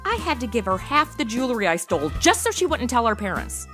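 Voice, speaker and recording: Bright, high, sassy young American. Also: female voice, big pitch swings, fast, faint music behind. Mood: snarky. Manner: sassy, snarky. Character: brash, self-absorbed, sarcastic modern young American woman. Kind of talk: comedy audio drama acting.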